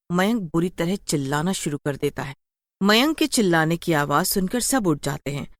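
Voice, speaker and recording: A woman narrates a scripted children's horror story. Her voice sits a little low, and the recording is close and dry with no room sound. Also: no echo.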